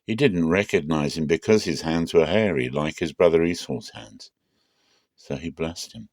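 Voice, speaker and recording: A man narrating the Bible aloud as a dramatic reading, in a measured, solemn and expressive storytelling manner. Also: extremely low pitch, no echo, clean studio sound.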